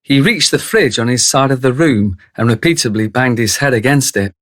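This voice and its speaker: A wry male storyteller, voiced by an actor narrates a radio drama. He is detached and deadpan, setting out each phrase deliberately. The voice is of medium pitch and recorded very dry. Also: dry recording, close to an everyday voice.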